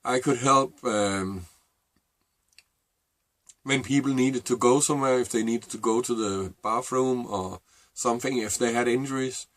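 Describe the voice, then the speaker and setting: A man's voice: medium pitch, fairly bright tone, no echo. Reflective Danish-accented man, dry sound. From Denmark. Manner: halting and reflective, with many fillers and restarts. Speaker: vlogger. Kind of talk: personal storytelling to camera.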